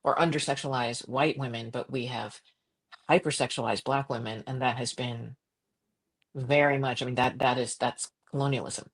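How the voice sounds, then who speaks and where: A middle-aged woman from Croatia, a journalist guest talking passionately in an interview, with frequent restarts and quick laughs. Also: slightly rough. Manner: animated, impassioned, jumps to high pitch for quoted voices. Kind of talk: conversational interview.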